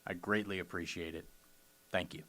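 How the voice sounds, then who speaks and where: A man's voice: sits low, steady tone, normal speed, pushed and bright. Conversational man making an earnest appeal. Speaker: theater company presenter. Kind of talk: informal donation appeal.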